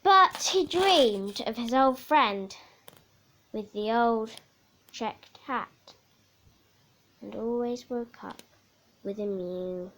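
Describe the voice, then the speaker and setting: A woman reading a children's picture book aloud in a theatrical, measured way, with dramatic emphasis. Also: fairly high pitch, animated, a bit bright, slight background noise. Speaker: story reader.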